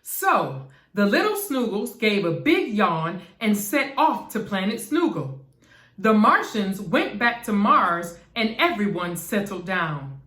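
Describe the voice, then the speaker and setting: A woman reading a children's bedtime story aloud as an expressive narrator. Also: medium pitch, slow pace. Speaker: storybook reader. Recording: echoey room.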